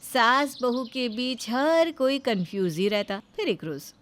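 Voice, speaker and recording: A woman's voice: fairly high pitch, big pitch swings, slow pace. A woman narrating a scripted family story as a storyteller.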